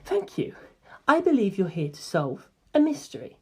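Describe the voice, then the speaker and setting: A woman gives voice to a calm teenage boy, a cryptic and gentle friend in a novel reading. The speech is quiet, breathy and measured, with a knowing manner. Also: medium pitch. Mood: gentle, solemn. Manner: soft-spoken, measured, knowing.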